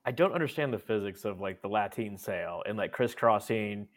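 A man's voice: lowish voice, animated, normal speed. A man co-hosting a podcast, chatting loosely and jokingly with lots of filler words. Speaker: podcast co-host. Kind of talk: casual chat.

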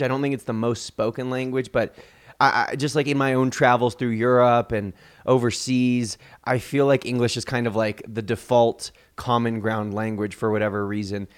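A man's voice: medium pitch, clean tone. A man hosting a podcast, chatting casually with a guest, friendly and loose with fillers and restarts. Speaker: podcast host. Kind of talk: casual interview chat.

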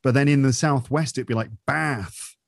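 A British man with a British English accent chats in an animated, explaining way. His voice sits at a middle pitch, recorded close and dry. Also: normal speed, gravelly, dry recording. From Britain. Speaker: podcast host, English teacher. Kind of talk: casual interview chat.

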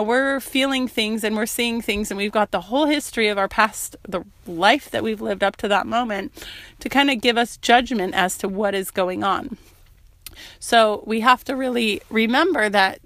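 A woman talks on her own podcast while sick, her voice worn down. She speaks earnestly and thoughtfully, pausing often with fillers. The recording is dry, with no room sound around her voice. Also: medium pitch, a bit bright, no room sound. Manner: earnest, reflective, frequent "um" and "you know". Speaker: podcast host. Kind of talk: casual solo podcast talk.

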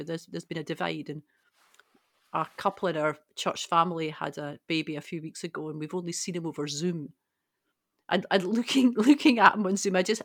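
A woman's voice: fairly low pitch, no room sound, clean studio sound. A woman co-hosting a conversational, reflective Bible discussion with a personal touch. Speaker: podcast co-host.

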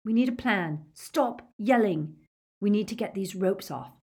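A woman's voice: medium pitch, lively intonation, measured pace, darkish tone, breathy edge, pitched above the performer's own voice. Woman as an urgent, practical teenage boy. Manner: urgent, insistent.

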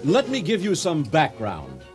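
An actor playing a pompous, folksy orator giving a comic ceremonial speech in a radio drama. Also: male voice, fairly high pitch, huge pitch swings, a little slow, loud music behind the voice. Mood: folksy explaining. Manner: grandiloquent, theatrical delivery.

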